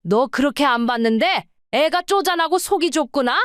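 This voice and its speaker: A woman's voice: high-pitched, expressive, normal speed, fairly bright tone, no room sound, clean studio sound. A woman playing an indignant, entitled older mother-in-law who scolds in an audio drama. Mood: indignant, scolding.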